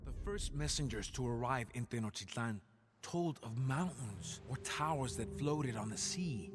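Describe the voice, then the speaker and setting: A man playing a grave Aztec jaguar warrior who narrates a game campaign in solemn, measured, dramatic storytelling. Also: mid-range voice, lively intonation, natural voice. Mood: grave, foreboding. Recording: background music under the voice.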